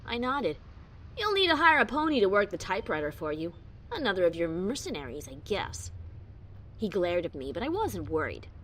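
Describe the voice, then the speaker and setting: A woman's voice: mid-range voice, very animated, normal speed, a bit bright, a little hiss or hum. A woman playing a wry but secretly anxious adventurer pony who narrates her own story expressively, with bravado over nerves. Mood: wry, confident. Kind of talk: first-person dramatic story narration.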